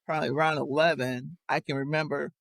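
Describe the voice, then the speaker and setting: A woman's voice: deep voice, level tone, fast talker, gravelly, no echo. A woman in her fifties, a podcast guest, telling reflective and amused stories in casual conversation.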